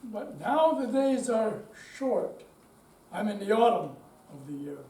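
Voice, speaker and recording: Older male amateur reader, high-pitched for a man, slow dramatic delivery, recorded far off in an echoey room. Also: very animated, warm tone. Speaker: amateur readers theater performer. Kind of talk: slow dramatic reading on stage. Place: room at a senior center. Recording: distant, echoey room with faint noise.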